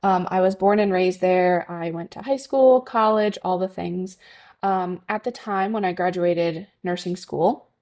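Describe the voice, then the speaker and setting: A woman from Connecticut who works as a nurse, talking as a guest in a loose, chatty way. She fills her sentences with ums and likes. Her voice sits a little low. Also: unhurried, a little mellow. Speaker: NICU nurse, podcast guest. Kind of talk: conversational interview.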